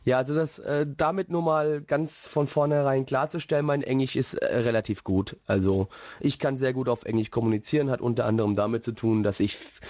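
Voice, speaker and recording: A man's voice: medium pitch, a little mellow, no room sound, studio quality. A young man rambling through a personal story in casual podcast chat, with many fillers and restarts. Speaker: podcast host.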